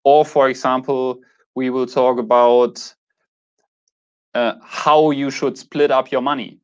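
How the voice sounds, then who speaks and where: A man's voice: mid-range voice, flat delivery, takes it slowly, smooth, muffled recording. A man in his mid-thirties with a German accent, talking casually to camera as a YouTube host, a little hesitant and correcting himself as he goes. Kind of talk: casual talk to camera, introducing a channel.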